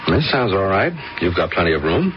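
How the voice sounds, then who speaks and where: Deep-voiced, patient middle-aged father. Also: big pitch swings, brisk. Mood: measured, probing. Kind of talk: radio drama acting. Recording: dull, noisy old radio recording.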